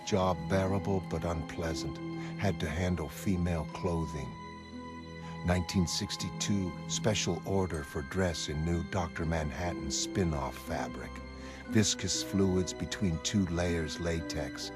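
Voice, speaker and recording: A male actor plays a grim vigilante behind bars with a low voice. He speaks slowly in clipped fragments, hostile and detached, as in a dark comic-book drama with music behind him. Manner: clipped, terse sentence fragments, flat menace. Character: grim, hostile masked vigilante prisoner, middle-aged man. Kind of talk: motion comic voice acting.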